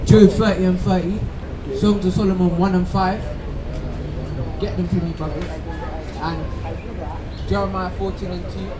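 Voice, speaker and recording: A man's voice: high-pitched, rough recording. A man preaching outdoors, emphatically teaching and calling out scripture for others to read. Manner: emphatic, instructing, calls out scripture. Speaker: street preacher. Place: outdoors on a busy street. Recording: loud street traffic noise.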